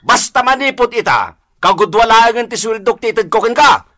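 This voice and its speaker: A man playing a hapless, excitable husband who swings from meek to defiant, in an Ilocano-accented radio comedy drama. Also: very high voice, normal speed, full character voice. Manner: excitable, exclaiming, swinging from mumbling to shouting.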